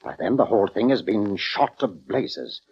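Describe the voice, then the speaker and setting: An actor playing a pompous, self-important orchestra conductor in 1940s radio drama style, formal, theatrical and easily affronted. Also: male voice, on the low side, very animated, normal speed, vocal fry, husky, exaggerated voice. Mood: troubled, indignant. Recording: dull vintage radio recording.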